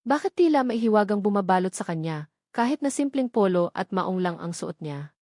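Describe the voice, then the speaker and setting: A woman's voice: medium pitch, animated, a little fast, mellow, dry recording, studio quality. A woman with a Filipino accent reading a scripted, dramatic romance story as an audiobook narrator.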